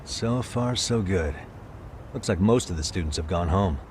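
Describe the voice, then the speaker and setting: A cool detective hero from a video game, laconic and wry. The voice is very low and casual, heard over background noise. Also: male voice, animated, moderate pace, darkish tone. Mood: cool, focused. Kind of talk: English video game dub acting.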